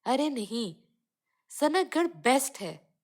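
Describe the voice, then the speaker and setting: A woman's voice: sits high, big pitch swings, slow pace, darkish tone, full character voice. A woman voicing a loyal village big sister in a children's cartoon story, explaining things to younger children. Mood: proud.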